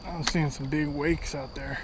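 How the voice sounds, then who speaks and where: A man's voice: a little high, a little slow, background noise. A man hosting a fishing video, chatting casually and chuckling while fishing by a river. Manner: relaxed, chuckles often. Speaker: fishing channel host. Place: outdoors by a river bank. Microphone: wearable action camera.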